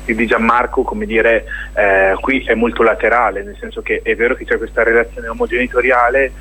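A man's voice: mid-range voice, flat delivery, a little fast, pushed and bright, breathy edge, low-quality recording. A man being interviewed on radio as a theatre director, reflective and hesitant as he searches for words while explaining his work.

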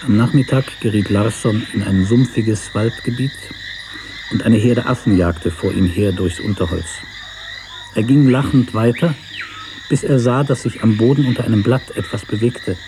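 A man reading a literary story as narrator in a measured, sober manner. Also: fairly low pitch, background noise.

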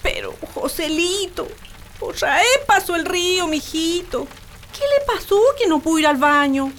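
Radio comedy: high, animated Chilean rural wife and mother played by an actress, nagging and exaggerated. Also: unhurried, slight background noise, exaggerated voice. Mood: soothing, motherly. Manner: scolding, exaggerated, colloquial. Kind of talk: comic radio drama acting.

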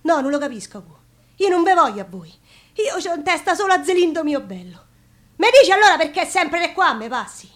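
Un'attrice che interpreta una giovane popolana innamorata in un monologo teatrale, con accento romano. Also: female voice, very high voice, big pitch swings, brisk, a bit bright, exaggerated voice. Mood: agitated, yearning. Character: lovesick, illiterate young Roman woman telling her heartaches. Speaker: actress in a theatre-song show. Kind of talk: theatrical dialect monologue.

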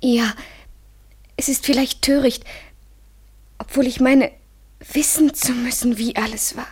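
Radio drama lover, female: highish breathy voice, slow, hesitant, fragile and anxious. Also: fairly even intonation, a little mellow, full character voice. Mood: yielding, intimate. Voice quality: breathy, often whispering. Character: fragile, anxious woman hopelessly in love. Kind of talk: literary German radio play acting, 1970s.

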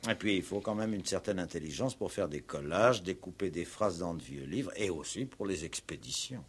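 An actor playing a shrewd police commissioner in a radio play, measured and inquisitive, with a lightly ironic manner. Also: male voice, deep voice, lively intonation, breathy edge, exaggerated voice. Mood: deliberating. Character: shrewd police commissioner, calm, wry, courteous investigator. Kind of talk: 1960s French radio play acting.

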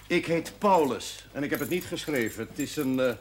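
A man's voice: a little high, lively intonation, normal speed, slight background noise, close to an everyday voice. Man doing a jolly priest, chuckles a lot, voice swings up and down, 70s tv drama. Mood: warm. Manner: hearty, chuckling, self-mocking. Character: jovial middle-aged male parish priest. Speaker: parish priest. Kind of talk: 1970s television drama acting.

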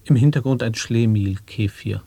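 A man performing an earnest speaker of absurd pronouncements in an experimental radio play, with a measured, formal delivery. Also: on the low side, creaky, mellow, husky, dry recording. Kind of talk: avant-garde radio play recitation of nonsense wordplay.

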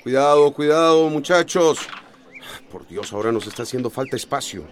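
A man's voice: mid-range voice, animated, normal speed, slight background noise, natural voice. An actor playing a bustling, teasing shelter organiser in a radio soap opera, bossy and sly as he calls out. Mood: urgent, directing.

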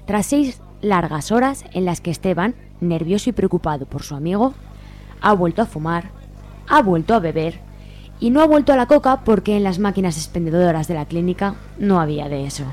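A woman reading scripted narration for a radio serial in a wry, measured way. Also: lowish voice, lively intonation, darkish tone.

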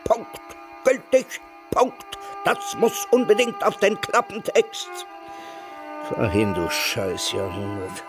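Bitter old man, slow and swooping. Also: a little high, wildly swooping pitch, over music, heavily acted. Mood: grim, sardonic. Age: older. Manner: biting, sarcastic, theatrically emphatic. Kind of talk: German radio drama acting.

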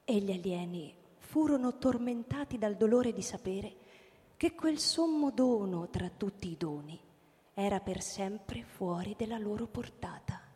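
A woman's voice: medium pitch, animated, unhurried, darkish tone. A woman narrating a literary radio drama from a script. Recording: long hall reverb.

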